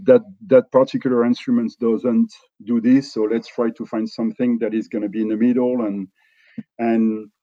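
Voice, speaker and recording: A middle-aged man from France with a French accent, talking in a relaxed interview and often restarting his sentences. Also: medium pitch, dry recording, muffled recording. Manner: halting, many restarts and fillers. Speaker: company executive guest.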